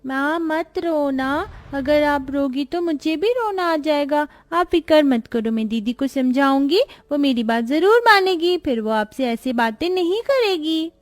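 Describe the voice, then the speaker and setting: A woman's voice: high-pitched, lively intonation, unhurried, few pauses. A woman voicing a sweet, caring little girl with a childlike, earnest manner in a moral story. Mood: tender, consoling. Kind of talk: animated moral story dialogue.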